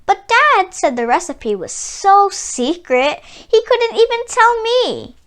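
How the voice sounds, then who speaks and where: A woman reading a children's story aloud in an expressive, theatrical way, drawing out key words for emphasis. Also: very high voice, slow pace. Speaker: children's book reader.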